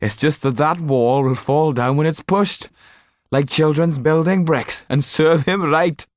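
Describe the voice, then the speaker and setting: A man voicing a cheeky, irreverent male servant with a mocking, animated manner. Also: fairly high pitch, measured pace, dry recording, studio quality, pitched above the performer's own voice, close to an everyday voice. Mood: amused.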